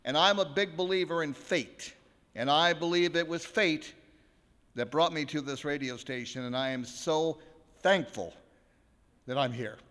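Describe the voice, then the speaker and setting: A man in his sixties with a fairly high, bright voice addresses a live concert from the stage. He introduces the music like a seasoned radio presenter, mixing in personal anecdotes. The sound carries the long reverb of a large hall. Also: measured pace. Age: 60s.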